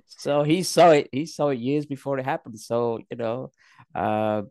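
A middle-aged man from Pakistan, raised in England, telling his story as a guest in a casual interview, rambling and halting with many fillers and restarts. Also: mid-range voice, darkish tone, no echo. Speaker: guest, actor and comedian.

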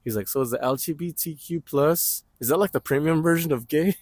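A loud young man chatting casually on a podcast, full of slang and frequent laughter. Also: fairly high pitch, a little fast, no echo, studio quality. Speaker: podcast co-host. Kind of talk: casual chat. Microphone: portable mic.

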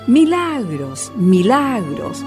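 A woman with a Chilean accent narrating a scripted radio story in an expressive, deliberate way. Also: mid-range voice. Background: music.

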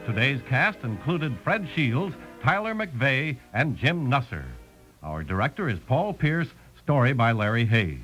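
A man's voice: mid-range voice, very animated, a little slow, fairly bright tone, faint music behind, poor audio. A man reading scripted credits in a 1950s radio announcer style.

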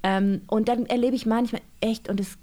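A woman's voice: a little high, steady tone, moderate pace, mellow. A woman, an author being interviewed, talking casually and reflectively, with many fillers and restarts.

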